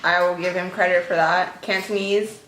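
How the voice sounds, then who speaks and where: A woman's voice: on the low side, fairly even intonation, normal speed, echoey room, background noise. A young woman from the United States chatting casually about her upbringing, with frequent fillers. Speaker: interviewee in a couple's conversation. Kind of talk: casual personal chat.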